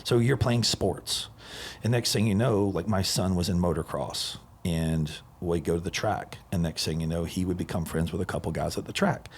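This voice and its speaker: A man's voice: low-pitched, moderate pace, breathy edge. A man in his forties hosting a podcast, telling stories in an enthusiastic, rambling casual chat. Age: 40s. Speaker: podcast host.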